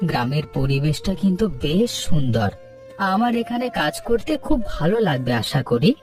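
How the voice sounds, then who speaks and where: A man's voice: on the high side, very animated, normal speed, a little mellow, far from the mic, soft background music. An actor voicing a polite, dutiful young male doctor, speaking formally in a cartoon. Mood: polite, earnest. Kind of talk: Bengali cartoon story dialogue.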